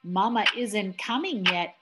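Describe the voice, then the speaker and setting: A middle-aged to older woman reading a children's picture book aloud as a grandmother, expressively, with drawn-out emphasis on key words. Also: medium pitch, expressive. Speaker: grandmother reading a bedtime story. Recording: music playing behind the voice. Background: music.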